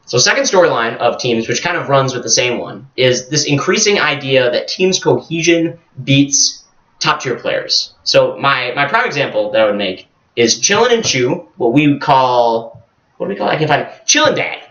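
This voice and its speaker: A man's voice: mid-range voice, very animated, moderate pace, fairly bright tone. A man co-hosting a casual esports recap, talking like an enthusiastic fan with slangy exclamations. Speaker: co-host of an esports recap show. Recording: roomy, distant mic, dull lo-fi sound.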